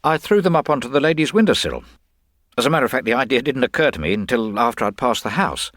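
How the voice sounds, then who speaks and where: A man's voice: medium pitch, lively intonation, fast talker, fairly bright tone, no echo, clean studio sound, voice pushed up, close to an everyday voice. A man playing a flustered, earnest young police constable in a detective story, deferential and stammering in his own defence. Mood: defensive. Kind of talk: dramatised audiobook detective story.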